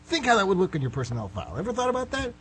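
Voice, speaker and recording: A man's voice: high-pitched, huge pitch swings, very fast, fairly bright tone, pitched above the performer's own voice, exaggerated voice. A man playing a frustrated middle-aged suspect in a radio play, pleading and backtracking as he rambles. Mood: exasperated, goading. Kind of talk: amateur radio play acting. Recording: hum and clear background noise.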